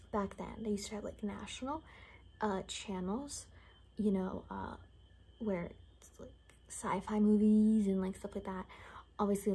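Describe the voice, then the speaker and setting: A young woman in a whispered ASMR roleplay, rambling casually in a soft, breathy, chatty way. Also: mid-range voice. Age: young adult. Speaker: ASMR creator playing an attendant. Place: small bedroom indoors.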